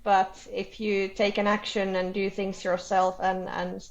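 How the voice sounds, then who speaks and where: A woman from Finland with a Finnish accent, giving unscripted interview answers in halting, non-native phrasing. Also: medium pitch, little pitch movement. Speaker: interview guest, board candidate.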